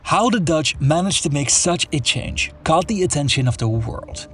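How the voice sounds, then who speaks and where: A man reading scripted explainer narration in an even, informative way. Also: fairly high pitch, normal speed, husky. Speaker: documentary narrator. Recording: narration over faint background music.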